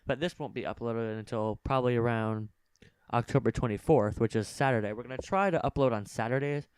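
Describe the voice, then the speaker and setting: A man's voice: mid-range voice, brisk, clean tone, no echo. A young man co-hosting a casual podcast, explaining things plainly into a game controller microphone. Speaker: podcast co-host. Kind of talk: casual improvised podcast chat. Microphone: game controller mic.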